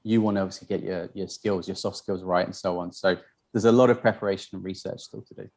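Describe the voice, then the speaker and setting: Low-voiced man answering questions. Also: fast talker. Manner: relaxed, hesitant with fillers and restarts. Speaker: university representative on a webinar. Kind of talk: casual spoken answers.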